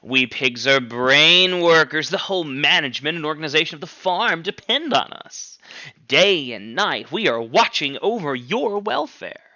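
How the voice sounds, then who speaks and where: A man voicing a persuasive pig orator in a storybook, imploring and self-justifying in a measured speechmaking manner. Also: a little high, expressive, pushed and bright, dry recording, highs cut off, heavily acted. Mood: earnest, self-justifying. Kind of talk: storybook character reading.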